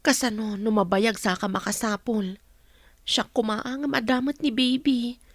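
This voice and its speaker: A gentle, high-voiced young wife. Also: female voice, steady tone, measured pace, dry recording. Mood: worried. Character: poor, patient young wife and mother, humble. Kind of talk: radio drama acting. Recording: music under the voice.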